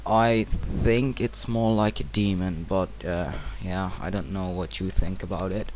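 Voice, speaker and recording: A man narrating a casual software tutorial in hesitant, informal, non-native-sounding English. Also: low-pitched, a little slow, dull audio. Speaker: tutorial presenter. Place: home computer screen-recording voice-over. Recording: clear steady background noise.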